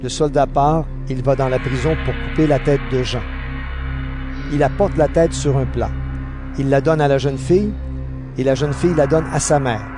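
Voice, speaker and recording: A man giving scripted narration for an audio Bible drama in a measured storytelling manner. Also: medium pitch. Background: background music.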